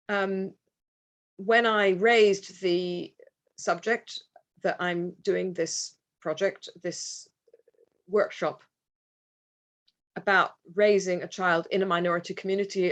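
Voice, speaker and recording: Earnest woman giving a conference talk. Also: medium pitch. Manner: earnest, reflective, with pauses and restarts. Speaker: conference speaker, parent. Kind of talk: presentation talk with slides. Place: conference hall.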